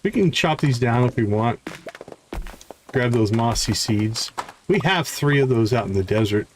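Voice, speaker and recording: Friendly guy streaming a farming game, medium pitch, chatting to viewers, faint noise n clicks behind. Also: expressive, normal speed. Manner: friendly, greets viewers by name. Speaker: livestream host playing a farming game. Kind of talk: casual live game commentary, chatting with viewers.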